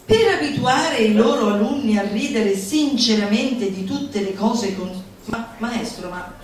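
A woman's voice: a little high, unhurried, a little hiss or hum. A woman performing a live theatrical reading in a declamatory way, slipping into teasing, mock-schoolteacher banter with her audience. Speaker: stage performer reading a literary text. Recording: echoey hall, distant mic, audience present.

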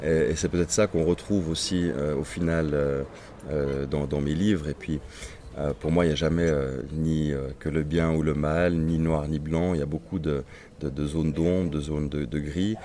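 Deep, dark-toned man, Swedish native speaker, novelist guest, thoughtful and halting. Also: fairly even intonation, slight background noise. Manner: reflective, halting, many restarts. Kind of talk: interview answers.

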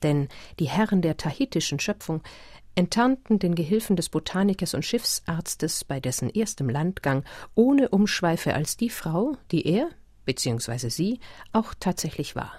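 Female narrator for literary radio drama: deep register, dark tone, measured and composed. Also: big pitch swings, dry recording, clean studio sound.

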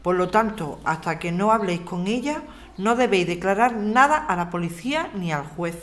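A woman playing a serious activist giving measured instructions to neighbours in an audio drama. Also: mid-range voice, animated, natural voice. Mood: serious, instructive. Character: serious activist briefing neighbours on legal precautions. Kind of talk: scripted amateur radio drama. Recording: faint ambient background noise. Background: faint ambience.

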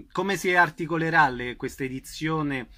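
Bright-voiced male interviewer. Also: on the high side, unhurried. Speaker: radio interviewer. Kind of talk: interview questions.

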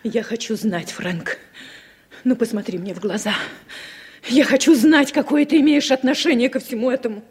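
An actress playing an anxious, insistent wife in a 1960s Soviet radio drama, earnest and pressing in manner. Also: female voice, fairly high pitch, moderate pace, darkish tone, breathy edge. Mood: urgent, demanding. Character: anxious, insistent woman confronting her husband.

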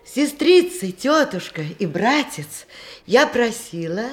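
An actress playing a genteel provincial widow in a radio play, narrating in a chatty, ornate, old-fashioned way. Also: female voice, a little high, sing-song, takes it slowly, faint music behind. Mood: solemn announcement. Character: genteel provincial widow hostess of nineteenth-century Russia.

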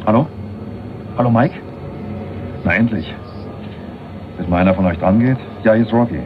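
An actor playing a scheming man making a halting, urgent telephone call in a radio crime drama. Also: on the low side, natural voice. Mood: impatient, urgent. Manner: halting, urgent phone talk. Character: man called Rocky, scheming, reporting by telephone. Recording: dull band-limited radio recording, music behind.

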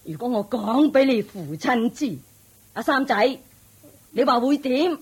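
A woman's voice: high voice, measured pace, slightly rough, exaggerated voice. A woman playing a haughty, domineering rich matriarch and mother-in-law in a radio drama, scolding and mocking. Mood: scornful. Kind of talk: Cantonese radio drama acting.

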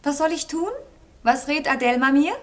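An actress playing a proud young princess in a radio play, speaking theatrical verse in a stately, solemn manner. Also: female voice, high voice, normal speed, fairly bright tone. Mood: torn, stern. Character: proud, regal young princess posing deadly riddles. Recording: echoey room, faint noise.

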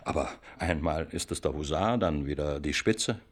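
An actor in a German radio play voicing an insistent, needling police interrogator who swings between threats and chummy, colloquial coaxing. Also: male voice, rumbling low, moderate pace. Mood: stern, accusing. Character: pushy, cajoling male police interrogator, by turns threatening and chummy.

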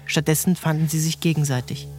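A man narrating a literary novel in a measured, storytelling manner. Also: fairly high pitch, flat delivery, a little mellow, soft background music. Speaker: audiobook narrator.

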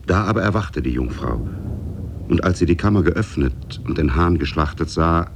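A man as a solemn storyteller narrating a 1950s radio drama, gravelly and husky. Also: sits low, moderate pace, slight background noise, natural voice. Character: solemn storyteller of Arabian Nights tales.

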